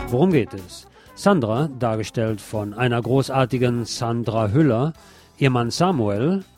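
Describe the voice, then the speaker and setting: A man's voice: medium pitch, darkish tone. A man delivering a scripted film review as a critic recommending a movie to listeners.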